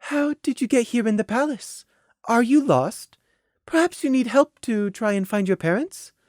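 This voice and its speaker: A woman voicing a refined unicorn mare in a storybook, soft, polite and hesitant. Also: mid-range voice, very animated, moderate pace, no echo, clean studio sound, voice pushed up, natural voice. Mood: gentle, polite. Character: refined unicorn mare mayor, kindly masking despair.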